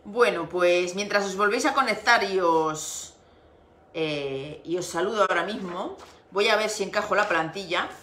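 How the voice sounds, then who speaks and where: A chatty middle-aged woman from Spain hosts a live craft stream from her home, greeting viewers by name and laughing often. Her mid-pitched voice rings with a roomy echo, picked up by a device set on her desk. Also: moderate pace, fairly bright tone, a little hiss or hum. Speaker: craft livestream host. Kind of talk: casual livestream chat. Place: home room with window. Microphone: device camera on desk. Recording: echoey room.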